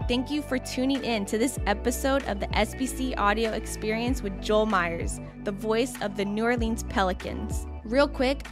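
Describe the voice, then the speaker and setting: A woman hosting a podcast and interviewing a guest in a casual, conversational way. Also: a little high.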